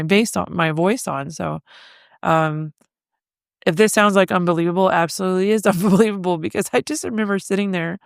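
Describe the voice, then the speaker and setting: Chatty woman podcast host with gravelly edge. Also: fairly low pitch, dry recording, studio quality. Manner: chatty, self-deprecating, laughs often. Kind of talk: casual solo chat. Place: home living room.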